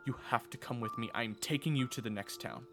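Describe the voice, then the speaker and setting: A man's voice: medium pitch, fast, lightly acted. A man acting an earnest teenage knight over music. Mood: urgent, desperate. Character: earnest, urgent teenage knight, male, protective and stubborn. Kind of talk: audio roleplay drama with first-person narration.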